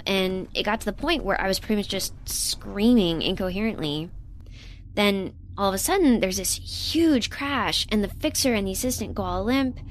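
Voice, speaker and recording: A woman as an earnest, excitable young intern. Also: medium pitch, a little hiss or hum, natural voice. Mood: earnest, animated. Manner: casual, youthful, emphatic. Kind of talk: naturalistic audio drama acting.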